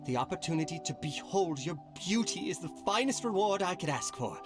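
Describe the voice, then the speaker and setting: A man playing a flowery, chivalrous admirer in an anime game, effusive with courtly flattery. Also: a little high, brisk, lightly acted. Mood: courtly, reverent. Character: flowery, fawning, chivalrous male admirer of a lady. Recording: music behind the dialogue.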